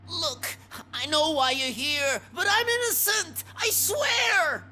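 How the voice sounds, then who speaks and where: High, sharp-voiced defensive suspect. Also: female voice, takes it slowly, a little hiss or hum, full character voice. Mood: desperate, tearful. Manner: urgent, defensive. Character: frantic, pleading woman pimp accused of murder. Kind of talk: video game cutscene acting.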